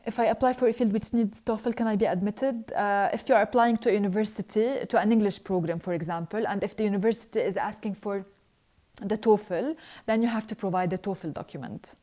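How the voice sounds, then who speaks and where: A young woman, a scholarship alumna, reading out viewer questions and answering them earnestly in a live Q&A, with frequent hesitant pauses. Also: mid-range voice, dark tone.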